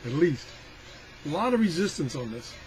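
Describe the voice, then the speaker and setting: Older man, higher-pitched voice, noisy recording. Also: big pitch swings, normal speed, darkish tone. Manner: rambling, folksy, self-deprecating grumbling. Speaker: product reviewer. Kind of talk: casual hands-on product demonstration. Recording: clearly noisy amateur recording.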